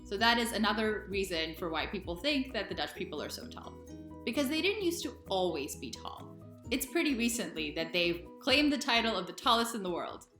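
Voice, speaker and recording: A woman's voice: fairly high pitch, a bit bright. A young American woman in her twenties or thirties talking in a casual, conversational and emphatic way, explaining things as a video presenter. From United States. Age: 20s or 30s. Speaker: video presenter, expat vlogger. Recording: roomy, echoing sound.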